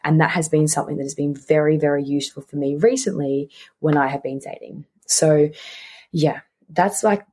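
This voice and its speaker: A woman's voice: low voice, fairly even intonation, normal speed, mellow, breathy edge. A woman in her twenties with an Australian accent, chatting casually and candidly as a solo podcast host, often repeating words for emphasis. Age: 20s.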